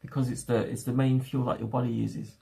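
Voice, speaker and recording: A young man whose first language is not English, chatting casually to his livestream viewers from home and thinking aloud in halting, restarting phrases. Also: mid-range voice, flat delivery, vocal fry, warm tone. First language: a home language other than English. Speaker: streamer.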